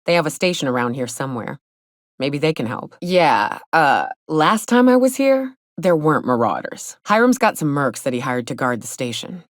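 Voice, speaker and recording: An actress playing a gruff, sardonic, hard-drinking frontier guide and monster hunter in a video game, with a folksy drawl. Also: female voice, low-pitched, very animated, normal speed, dry recording, studio quality. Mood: dryly joking. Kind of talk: video game character dialogue.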